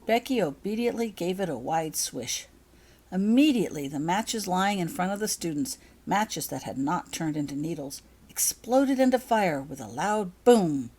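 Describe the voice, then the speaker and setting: A woman narrates a story in a somewhat low voice that moves widely up and down. She reads from a script like an audiobook, with expressive delivery. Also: moderate pace, husky. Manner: expressive, acts out dialogue. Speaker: author reading her own fan fiction.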